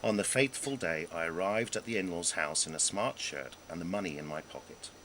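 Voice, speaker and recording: A man reading his own humorous memoir aloud in a wry, self-deprecating way, with dramatic pauses and emphasis. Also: low-pitched, fairly bright tone, a little hiss or hum, low-quality recording. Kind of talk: scripted narration of a humorous memoir.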